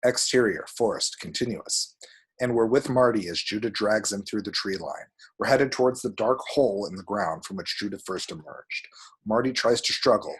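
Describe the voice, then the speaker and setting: A man's voice: lowish voice, normal speed, husky, low-quality recording. A man reading screenplay action descriptions aloud as dramatic, vivid narration at a table read.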